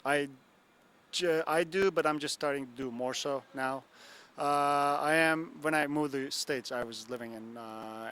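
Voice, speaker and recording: A man's voice: medium pitch, fairly bright tone, slight background noise. A young man from Turkey, a photographer, answering interview questions in a loose, rambling way with lots of fillers. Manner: rambling, many fillers and "you know what I'm saying". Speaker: photographer guest. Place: bar and grill.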